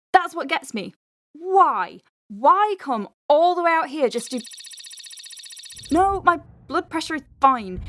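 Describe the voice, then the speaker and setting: A woman's voice: sits high, a bit bright, slight background noise. A woman playing an exasperated, wry doctor at a space clinic in an audio drama, with a sardonic, conversational delivery. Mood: exasperated, indignant. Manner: sardonic, conversational, hesitant asides. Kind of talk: audio drama monologue, dictating a letter. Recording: music behind the voice. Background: music.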